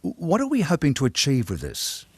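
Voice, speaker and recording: A man co-hosting a podcast, presenting in a measured, polite, scripted way. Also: a little high, wildly swooping pitch, husky, no room sound. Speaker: podcast co-host. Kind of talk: scripted podcast introduction and interview.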